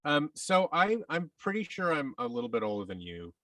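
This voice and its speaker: A man's voice: fairly high pitch, sing-song, moderate pace, pushed and bright, dry recording, far from the mic, poor audio. A man telling personal stories as a podcast guest, candid and reflective, often interrupting himself.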